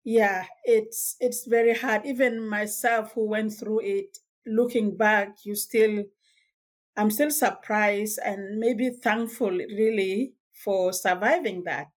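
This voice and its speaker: A woman from Sudan, telling her life story as a guest in a podcast interview, measured and reflective with small hesitations. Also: mid-range voice, fairly even intonation. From South Sudan. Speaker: guest author.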